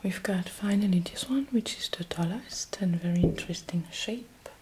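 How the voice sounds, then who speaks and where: A woman with a French accent softly whispering an ASMR shop roleplay in a breathy, gentle way, as if serving a customer. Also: on the low side, normal speed, noisy background. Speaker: ASMR host playing a beer shop assistant.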